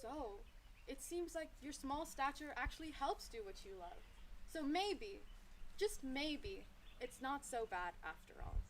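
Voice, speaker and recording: Woman as a wise, high-voiced kindly elephant. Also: very animated, unhurried, a little hiss or hum. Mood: thoughtful. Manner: thoughtful, gently questioning, encouraging. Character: big, kindly, wise male elephant mentor. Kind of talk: children's story dialogue.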